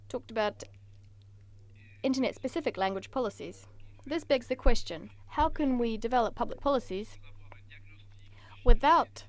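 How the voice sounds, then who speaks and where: A woman interprets a conference talk in a steady, deliberate way. Her voice sits a little high.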